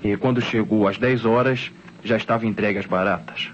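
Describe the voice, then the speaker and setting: A man's voice: lowish voice, steady tone, fairly quick, natural voice. An actor playing an insistent, convinced boy with a Brazilian accent in an old radio drama. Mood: recounting eagerly. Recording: dull, noisy old radio recording.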